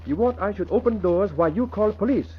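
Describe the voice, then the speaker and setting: High-voiced, obedient manservant. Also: male voice, animated, fast, slightly rough. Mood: obedient, eager. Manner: broken English, dropped articles. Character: loyal manservant and driver, clipped, obedient, eager. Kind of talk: old-time radio drama acting. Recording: muffled, hissy vintage radio recording.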